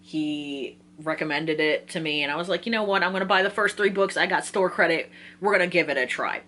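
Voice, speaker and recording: A woman's voice: fairly low pitch, fairly quick, fairly bright tone, a little hiss or hum. A woman in her twenties talking casually and informally to viewers as a book vlogger, giggly and often interrupting herself. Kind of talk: casual chatty book list talk to viewers.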